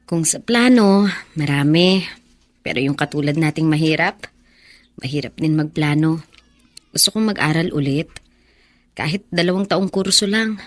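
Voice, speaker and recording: An actress with a Filipino accent playing a poor, orphaned young bar hostess in a radio drama, wistful and soft-hearted. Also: female voice, deep voice, moderate pace, pauses often, close to an everyday voice. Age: young adult.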